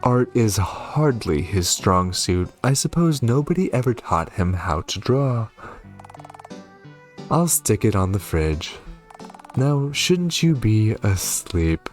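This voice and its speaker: A man voicing a weary witch mother in a game, measured and dry, coolly indulgent with faint exasperation. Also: fairly low pitch, very animated, faint music behind. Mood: dryly indulgent.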